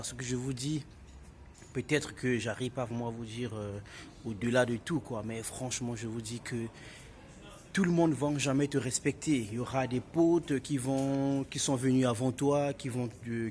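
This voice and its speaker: A man with a Congolese French accent casually tells his personal story in an emphatic, repetitive way, addressing listeners as buddies. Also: mid-range voice. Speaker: vlogger telling his own story. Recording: clearly noisy ambient background.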